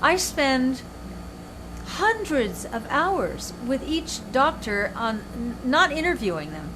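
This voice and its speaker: An older woman hosting her show, telling stories in an animated, emphatic way with many restarts. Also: high voice, slow pace, background noise. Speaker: show host and author. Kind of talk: casual chat.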